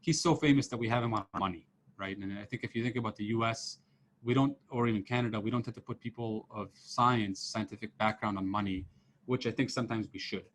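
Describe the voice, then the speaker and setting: A man's voice: lowish voice, steady tone, a little fast, a bit bright, slight background noise. Man, 30s, from Serbia, professor, casual seminar talk, video call mic, frequent fillers and restarts. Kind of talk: informal lecture over video call. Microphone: computer mic on a video call, camera off.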